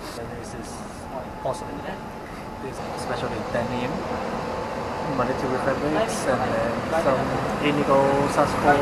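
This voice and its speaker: A man's voice: medium pitch, very noisy. A man chatting in short, broken English inside a fabric showroom. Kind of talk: casual chat.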